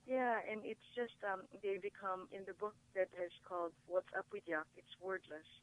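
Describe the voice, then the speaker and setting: A woman's voice: mid-range voice, normal speed, low-quality recording. A woman from the Philippines whose first language is Tagalog, talking eagerly as a guest author in a TV studio interview. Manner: upbeat, eager explaining. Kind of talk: casual interview talk.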